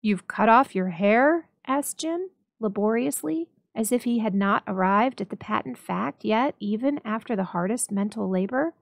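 A woman narrating a story dramatically, with expressive, measured storytelling. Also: a little high, vocal fry, no room sound. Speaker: library staff story reader.

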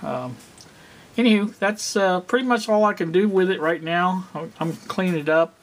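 Higher-voiced man, rambling and halting. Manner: rambling, halting, thinking aloud. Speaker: hobbyist presenter. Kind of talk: casual unscripted demonstration. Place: indoor home workspace.

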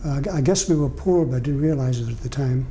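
Older man in his 70s, deep dark tone, halting delivery, light hiss behind. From Portugal. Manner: hesitant, many fillers and restarts. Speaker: interviewee, veteran. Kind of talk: oral history interview. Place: library room.

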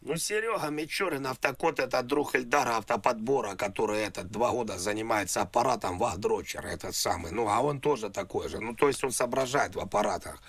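A man on a prank call, talking in a halting, repetitive way like a naive, confused customer. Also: medium pitch, a bit bright, husky, no room sound. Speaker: prank caller. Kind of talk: prank phone call, rambling improvised talk.

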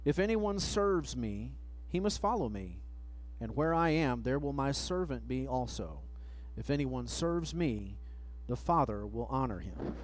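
A middle-aged man preaching a sermon at a church pulpit mic, reading scripture slowly and letting phrase ends trail off. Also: medium pitch, animated, low-quality recording. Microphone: pulpit microphone, far camera.

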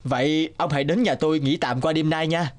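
A man playing a kind, hospitable poor villager in a cartoon, speaking gently and reassuringly. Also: on the high side, fairly even intonation, a little fast, close to an everyday voice. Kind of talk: cartoon dubbing.

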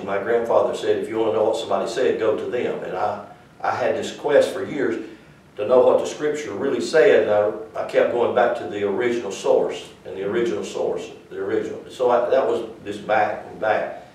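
Older man, Southern US accent, folksy church teacher, low voice, far from the mic. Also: fairly even intonation, a little fast, a little mellow. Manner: folksy, rambling, conversational with audience asides. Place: small church classroom, indoors. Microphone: far camera. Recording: echoey room from far off.

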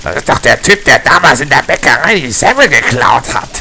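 Ein Mann spielt einen knarzigen, versoffenen Schnüffler, lebhaft. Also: male voice, medium pitch, very animated, brisk, vocal fry, pushed and bright, a little hiss or hum, heavily acted. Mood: agitated, plotting. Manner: grumbling, rambling, colloquial. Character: boozy, crude, grumbling male small-time detective. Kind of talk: hobby comic audio drama acting.

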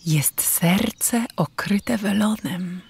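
An actress playing a gentle, loving village mother in a children's radio play, with soft rhymed delivery. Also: mid-range voice, extremely slow, vocal fry, slightly rough, heavily acted. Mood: tender.

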